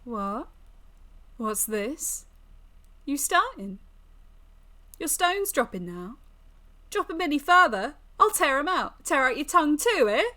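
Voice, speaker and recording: A woman voicing a menacing, mocking fiend in a storybook tale, using rough British slang. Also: high voice, sing-song, slow pace, long pauses, bright, forceful tone, pitched above the performer's own voice, heavily acted. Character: menacing, teasing fiend, chestnut-roasting storyteller.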